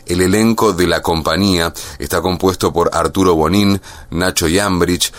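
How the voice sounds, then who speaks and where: A man's voice: sits low, fairly even intonation, moderate pace. A man reading a scripted, informative radio report as its narrator.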